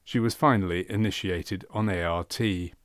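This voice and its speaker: A man reading scripted documentary narration for a medical training film in a measured, factual manner. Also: sits low, no echo, clean studio sound. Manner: measured, factual delivery of clinical case history.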